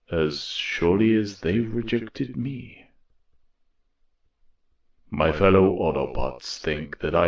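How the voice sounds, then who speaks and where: An extremely deep-voiced, solemn male robot leader in an audio drama. He speaks slowly and gravely, weighing each phrase like a tired commander reflecting on his duty. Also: full character voice, low-quality recording. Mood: resolute. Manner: grave, deliberate, measured. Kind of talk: reflective dramatic monologue narration.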